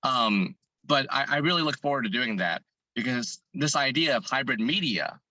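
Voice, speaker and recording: A hoarse man answers an interviewer earnestly, working his thoughts out aloud with frequent restarts. His voice is bright and forward despite the hoarseness, recorded dry and close with no room sound. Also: medium pitch, expressive, normal speed, dry recording, muffled recording. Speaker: fitness content creator and business owner. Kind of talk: interview guest answering questions.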